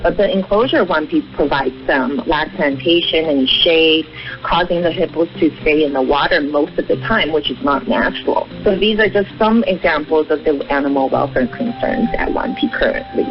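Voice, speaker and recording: A man's voice: on the high side, normal speed. A man explaining things informally in a radio interview, in the manner of an advocate. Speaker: animal welfare advocate being interviewed. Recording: muffled narrowband shortwave radio, music behind. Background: music.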